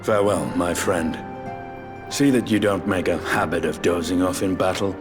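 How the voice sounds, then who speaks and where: An actor with a British accent playing a gruff, aloof, dryly terse dragoon warrior in a video game cutscene, speaking in measured, formal, old-fashioned phrases. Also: male voice, sits low, over music, lightly acted.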